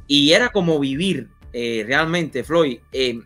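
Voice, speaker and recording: Male talk-show host, slightly high voice, chatty and opinionated, lots of "eh". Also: lively intonation, slow, a bit bright, soft background music. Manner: rambling, opinionated, many fillers. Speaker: interview host. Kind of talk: conversational interview.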